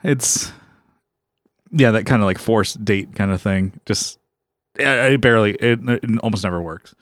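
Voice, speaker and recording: A young man co-hosting a podcast, relaxed and agreeable, with frequent laughter. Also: lowish voice, normal speed, no room sound, clean studio sound. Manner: laid-back, agreeable, laughs often. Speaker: podcast co-host. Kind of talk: casual podcast chat.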